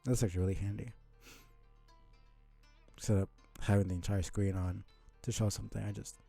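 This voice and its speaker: A man streaming a casual read-aloud of a comic, with halting, muttered asides. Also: lowish voice, fairly even intonation, gravelly, dark tone, slightly rough, soft background music. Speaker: streamer reading a webcomic aloud.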